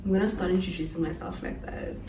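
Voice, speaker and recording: A woman's voice: on the low side, steady tone, fast talker, background noise. A nineteen-year-old woman chatting casually in a vlog, laughing easily and often restarting her sentences. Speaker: YouTuber. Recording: echoey room, mic far off.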